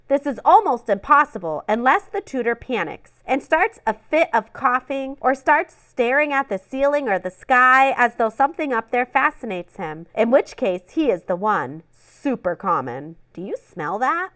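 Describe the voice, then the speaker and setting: A woman reading a funny children's picture book aloud in a playful, animated way, with little acted asides. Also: fairly high pitch, normal speed, few pauses, dry recording, clean studio sound. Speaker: storybook reader.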